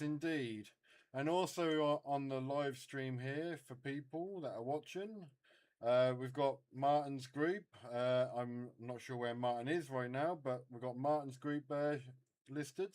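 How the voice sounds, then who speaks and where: Clear-voiced man hosting a radio net. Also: mid-range voice, a little slow, a little mellow. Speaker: CB radio net host and live streamer. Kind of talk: casual radio net chat.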